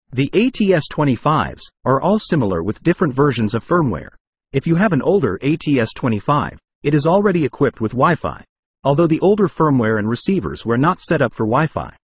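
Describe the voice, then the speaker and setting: Male review narrator: husky, lively intonation, formal scripted explainer read. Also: medium pitch, no echo.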